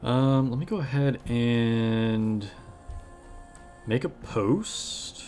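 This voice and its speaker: A man's voice: medium pitch, extremely slow, darkish tone. A man with a slightly scratchy voice chatting casually and informally as he hosts a live stream and greets viewers. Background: music.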